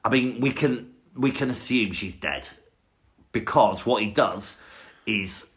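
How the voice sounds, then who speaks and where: A man co-hosting a podcast, chatting casually about a song, enthusiastic and quick to laugh while arguing playfully. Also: medium pitch, fairly bright tone. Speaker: podcast co-host. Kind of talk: casual chat reviewing a song.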